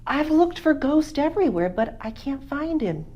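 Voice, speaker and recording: A woman's voice: sits high, sing-song, normal speed, a little mellow, dull audio, pitched above the performer's own voice. Woman doing a worried young boy. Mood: pleading. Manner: plaintive, pleading.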